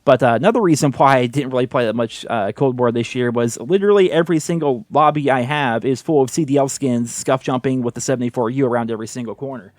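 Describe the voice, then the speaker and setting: Young male gaming commentator, mid pitch, casual rambling delivery, dry studio sound. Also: a little mellow, dry recording. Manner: rambling, candid, fillers like "you know" and "right". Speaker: gaming YouTuber.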